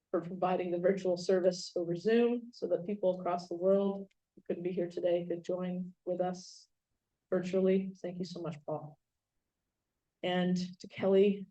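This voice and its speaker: A woman's voice: fairly low pitch, warm tone. A woman organizing a memorial gathering, thanking guests in unscripted remarks with many fillers and restarts, recorded at an event venue. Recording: dull lo-fi sound.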